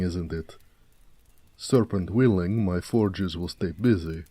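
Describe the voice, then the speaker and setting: A man playing a big, muscular orc blacksmith in an intimate audio drama, proud, gentle and affectionate, with courteous, slightly formal speech. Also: low voice, dry recording. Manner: unhurried, soft-spoken, courteous, slightly formal. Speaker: voice actor.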